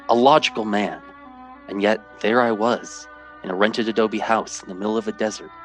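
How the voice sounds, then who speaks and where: A man's voice: fairly low pitch, natural voice, rough recording. Anxious professor in a radio drama man acting. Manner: hesitant, dramatic, urgent. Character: anxious, bewildered, logical male mathematics professor.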